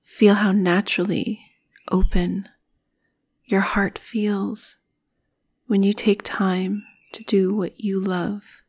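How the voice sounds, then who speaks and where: A woman guiding a meditation in a soothing, breathy manner, with phrases often trailing off into a whisper. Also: medium pitch, flat delivery, slow pace, darkish tone. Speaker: meditation guide. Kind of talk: guided meditation narration.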